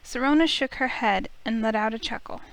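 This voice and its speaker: A woman reading a visual novel aloud as an expressive first-person story, with music underneath. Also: a little high, fairly quick, a little hiss or hum, rough recording.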